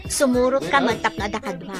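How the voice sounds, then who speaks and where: A woman's voice: on the high side, fast talker, over music, close to an everyday voice. A woman playing a friendly, chatty, teasing household cook in a drama, with an Ilocano accent. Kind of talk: acted dialogue in an Ilocano drama.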